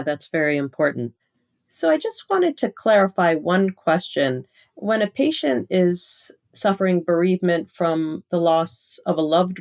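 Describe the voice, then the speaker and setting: A woman's voice: lowish voice, darkish tone. A woman hosting a medical interview, asking questions in a polite, professional manner. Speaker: interviewer, physician editor.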